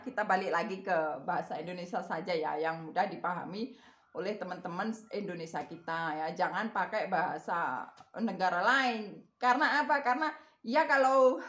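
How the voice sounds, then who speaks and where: Chatty Javanese-accented woman in an echoey room. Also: fairly high pitch. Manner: rambling, chatty, laughs often. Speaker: vlogger. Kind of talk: casual chat to viewers.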